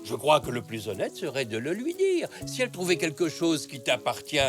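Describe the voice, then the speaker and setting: A man voicing a kindly, wise old grandfather zebra in a children's cartoon, gentle, patient and encouraging. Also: fairly high pitch, huge pitch swings, fairly quick, music in the background. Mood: gentle, guiding. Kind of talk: French children's cartoon dubbing.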